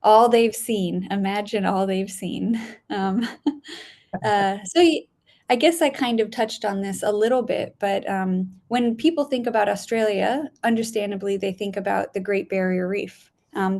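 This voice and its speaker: Woman from spain, gravelly voice, chatty guest on a video call, lots of ums. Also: mid-range voice, fairly even intonation, measured pace, a little mellow. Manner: chatty, many ums and restarts, laughs often. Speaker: guest scientist. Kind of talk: casual interview over video call.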